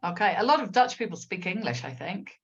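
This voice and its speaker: A woman's voice: mid-range voice, expressive, a little fast, breathy edge, highs cut off. Woman hosting a video call discussion. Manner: friendly, keeps the talk moving. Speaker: host of the discussion event.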